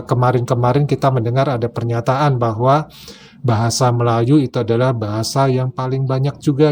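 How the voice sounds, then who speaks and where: A man's voice: mid-range voice, darkish tone, a little hiss or hum. A man hosting a video, giving casual explanatory commentary. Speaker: host of a reaction video channel.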